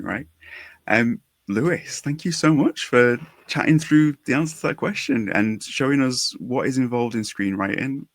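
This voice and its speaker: A man's voice: mid-range voice, no room sound. A man hosting a casual podcast chat in an encouraging, enthusiastic manner. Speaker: podcast host.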